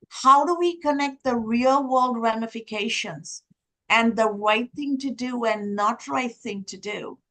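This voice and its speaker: Woman from Sri Lanka, first language Tamil; earnest speaker at an academic session, asks rhetorical questions, voice on the high side. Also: a bit bright, poor audio. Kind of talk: lecture-style talk.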